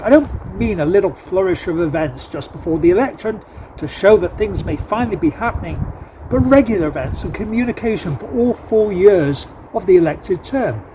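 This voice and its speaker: A man's voice: high voice, slight background noise. A man in his fifties with a Manchester accent, giving a scripted campaign address with dry, self-mocking humour. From England. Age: 50s. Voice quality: deadpan self-mocking delivery. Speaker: election candidate.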